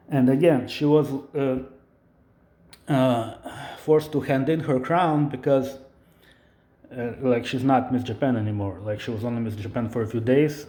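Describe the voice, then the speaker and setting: Indignant male vlog host, mellow voice, profane repetitive ranting, echoey room. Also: mid-range voice. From Ukraine. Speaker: tech channel host. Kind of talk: ranting video commentary.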